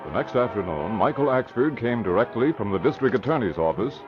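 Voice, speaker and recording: A man's voice: lowish voice, very animated, a little fast. A man narrating a 1940s radio serial in a dramatic, declamatory style. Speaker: announcer and narrator. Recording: muffled old radio recording. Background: music.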